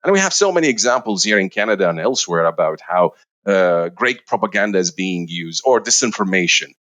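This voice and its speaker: A middle-aged man from Iraq with a fairly low voice. He answers as a guest researcher, pausing often on fillers and restarting phrases. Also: animated, fairly bright tone. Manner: hesitant, many uh fillers and restarts. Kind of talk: interview answers.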